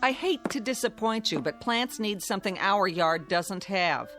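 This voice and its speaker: An actress playing a weary, impatient wife in a radio drama, dryly sarcastic and scolding. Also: female voice, medium pitch, animated, normal speed, fairly bright tone, faint music behind, natural voice.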